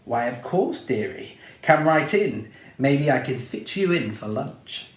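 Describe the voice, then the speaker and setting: A man's voice: mid-range voice, animated, unhurried, echoey room, close to an everyday voice. Sly witch, sugary and hungry; male reader plays her. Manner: sugary, wheedling. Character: sly, hungry female gingerbread-house witch.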